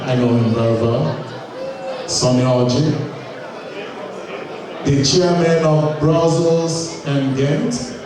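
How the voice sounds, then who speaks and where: A man giving a formal welcome address at a community gala, in slow, measured phrases with long pauses. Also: on the high side. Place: large hall at a community gala. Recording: echoey hall, distant mic, crowd chatter.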